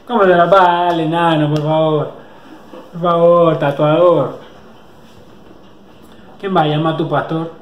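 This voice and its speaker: Young male reactor, excitable and jokey, slightly high voice, roomy webcam sound. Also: unhurried, long pauses, muffled recording. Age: young adult. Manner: excitable, joking, slangy. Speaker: reaction video host. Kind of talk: casual reaction commentary. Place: small indoor room. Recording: echoey room.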